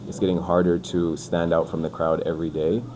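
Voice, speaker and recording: A man's voice: low voice, fairly quick. A man in his twenties from the UK, a podcast guest talking casually and loosely, full of "like" and "bro". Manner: relaxed, rambling, says "like" and "bro" a lot. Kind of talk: casual chat. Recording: noisy background.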